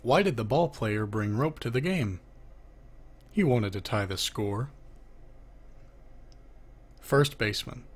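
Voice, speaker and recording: A man's voice: mid-range voice, expressive, takes it slowly, pauses often, slightly rough. A man reading a children's joke book aloud, announcing who speaks before each line and lifting his voice for the questions. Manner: lifts his voice for the asking half of knock-knock jokes.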